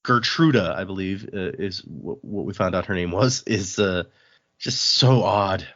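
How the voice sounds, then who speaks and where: A man's voice: low-pitched, unhurried, a bit bright, muffled recording. A man hosting a podcast, casually recapping a book in an informal way. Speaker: podcast host.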